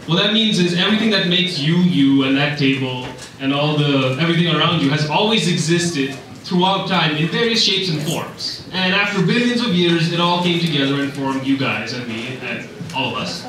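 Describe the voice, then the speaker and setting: A young man from Russia delivers a stand-up set in a dry, wry way, with plenty of ums between lines. His voice sits a little high. It is caught from afar in a very echoey club with loud background noise. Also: normal speed, few pauses. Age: young adult. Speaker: stand-up comedian. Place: comedy club stage. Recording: echoey club recorded from a distance. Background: audience laughter.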